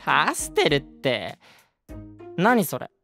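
A man voicing a cheeky, flippant high school boy in an audio drama, casual and teasing. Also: wildly swooping pitch, takes it slowly, creaky, sharp tone, soft background music, exaggerated voice.

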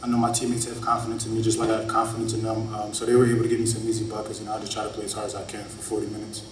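A man in his early twenties, a college athlete, giving measured, plain-spoken answers at a press conference. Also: on the low side, monotone. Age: early 20s. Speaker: college basketball player. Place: press conference room, indoors. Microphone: table microphone on stand. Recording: echoey room, distant mic, clear noise.

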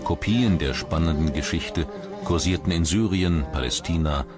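Very deep-voiced male documentary narrator. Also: moderate pace. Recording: music bed under the voice. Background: music.

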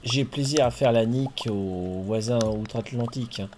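A man's voice: medium pitch, moderate pace, crowd noise. A man from France chatting casually with a friend while playing a farming game, chatty and jokey with playful swearing. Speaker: streamer and host.

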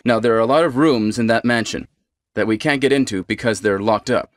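A man's voice: lowish voice, a little fast, no echo, studio quality, close to an everyday voice. An actor playing a composed, secretive male squad leader in a stilted nineties video game. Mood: calm, guarded.

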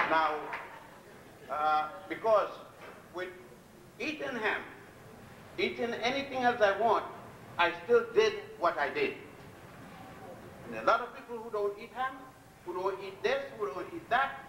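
An older man giving a public lecture from a podium, blunt and defiant, telling his story with long pauses. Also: high-pitched, far from the mic, background noise. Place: lecture hall at a podium before a chalkboard. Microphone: podium mic on a stand, filmed from a distance. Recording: echoey hall, recorded from afar.

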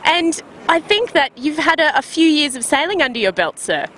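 A woman interviewing someone at an outdoor marina, upbeat and quick to laugh. Her voice is fairly high and very bright. Faint traffic and city noise sit in the background. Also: sing-song. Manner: bubbly and upbeat, laughs often. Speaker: sailing interviewer. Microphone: handheld microphone.

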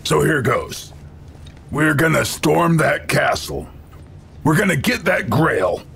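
A man voicing a cocky, wisecracking American action-hero soldier in a video game, with deadpan swagger. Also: fairly high pitch, takes it slowly, gravelly, slightly rough, full character voice. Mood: rallying, dry. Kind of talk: video game cutscene acting.

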